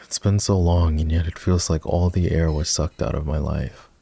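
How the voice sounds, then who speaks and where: A man playing an ex-boyfriend turned boss in an intimate roleplay ASMR, talking in a halting, conversational way. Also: rumbling low, fairly even intonation, gravelly, mellow, exaggerated voice. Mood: vulnerable, regretful. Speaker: voice actor.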